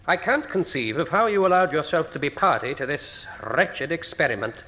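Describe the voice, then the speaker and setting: An actor playing a brusque, pompous and sceptical senior British police chief in a period radio drama. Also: male voice, fairly high pitch, sing-song, normal speed, full character voice. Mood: exasperated. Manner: brusque, pompous, old-fashioned gentlemanly speech. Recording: long hall reverb.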